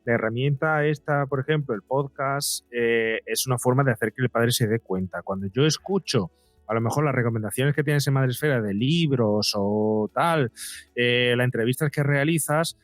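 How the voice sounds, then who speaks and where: A man's voice: mid-range voice, moderate pace, dry recording, faint music behind. A middle-aged man speaking as an interviewed psychologist, explaining earnestly and emphatically in a conversational way, often acting out imagined dialogue.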